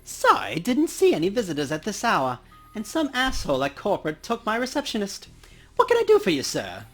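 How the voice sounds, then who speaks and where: A man puts on a dwarf coroner from a video game, wry and ghoulishly cheerful. The pitch swings up and down in a lively way as he chats, with music playing behind. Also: a little fast, heavily acted. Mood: brisk, affable. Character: dwarf coroner, lopsided grin, kindly, ghoulishly cheerful male. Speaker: voicing characters in a game playthrough. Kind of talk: character reading in a let's play.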